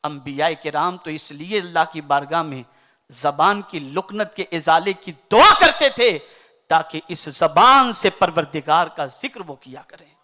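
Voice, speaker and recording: A man from India, preaching a sermon in an oratorical style that swings between loud declaiming and soft recitation. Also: fairly high pitch, expressive, unhurried. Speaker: Islamic preacher.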